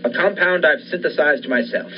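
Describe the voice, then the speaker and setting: A man's voice: fairly high pitch, moderate pace, soft background music, natural voice. A young man playing an obsessed, commanding scientist and surgeon in the style of a 1940s radio thriller. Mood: proud, assured. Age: young adult. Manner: commanding, insistent. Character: obsessed scientist surgeon bent on reviving his dead wife. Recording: dull lo-fi audio, faint noise.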